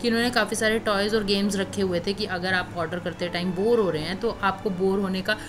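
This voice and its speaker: Woman reviewer, mid pitch, chatty and enthusiastic voice-over narration. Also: fairly even intonation, brisk. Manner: enthusiastic, conversational, addresses viewers as guys. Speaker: food reviewer.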